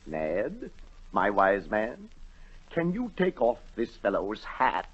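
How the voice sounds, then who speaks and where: A man's voice: fairly low pitch, wildly swooping pitch, takes it slowly, husky, exaggerated voice. An actor playing an imperious, easily outraged king in 1940s radio drama, exclaiming and repeating himself. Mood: commanding. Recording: dull vintage 1940 radio recording.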